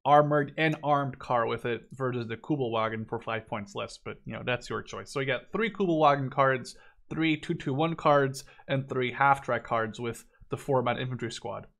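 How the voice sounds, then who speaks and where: A man's voice: medium pitch, moderate pace, vocal fry, fairly bright tone. A man in his twenties giving casual game commentary in a rambling, conversational, opinionated way. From Netherlands. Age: 20s. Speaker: gaming video commentator.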